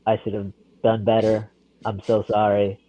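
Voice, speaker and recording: A man's voice: lowish voice, monotone, moderate pace, very noisy. A man co-hosting a casual podcast, talking in a hesitant, stammering way. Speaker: podcast co-host. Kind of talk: casual chat. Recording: dry tinny phone-like line.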